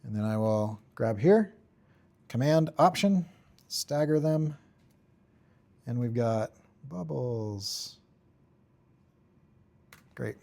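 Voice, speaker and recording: A man giving an informal software tutorial, thinking aloud in short, offhand fragments as he works. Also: mid-range voice, lively intonation, very slow, creaky, long pauses. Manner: offhand, talks in short fragments while working. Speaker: tutorial instructor.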